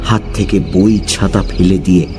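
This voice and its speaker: A man narrating a scripted horror story dramatically, with suspenseful pauses. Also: low-pitched, steady tone. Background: music.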